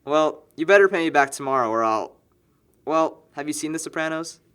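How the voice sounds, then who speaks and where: A man's voice: fairly high pitch, brisk, fairly bright tone, smooth, natural voice. A man playing a sarcastic, needling young man among longtime friends in an amateur audio drama. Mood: teasing.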